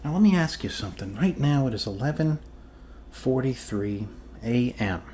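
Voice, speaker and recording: A man doing an improvised ASMR role-play as a calm, quirky doctor, speaking haltingly and reassuringly to a patient. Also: mid-range voice, a little hiss or hum. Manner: halting, reassuring, trailing off with fillers and pauses. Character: calm, quirky male doctor treating a zombie bite.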